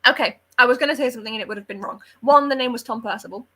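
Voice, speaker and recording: A woman with a bright, forward voice that sits a little high and has a husky edge. She talks as a book club panellist in casual, informal chat, animated and quick to give her opinion.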